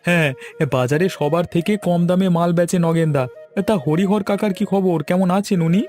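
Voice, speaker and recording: A talkative cartoon villager, voiced by a man, with a fairly high voice and a coaxing way of talking. He is a helpful, eager matchmaker, heard over light music. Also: moderate pace, darkish tone, lightly acted. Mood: friendly. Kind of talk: Bengali cartoon dialogue.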